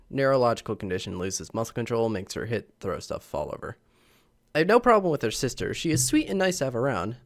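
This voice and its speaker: A young man, a little sick, casually reading online posts to the camera with lots of fillers and chuckles. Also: mid-range voice. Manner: rambling, chuckling, many fillers. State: slightly sick. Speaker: channel host reading posts. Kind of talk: casual vlog reading aloud. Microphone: camera mic.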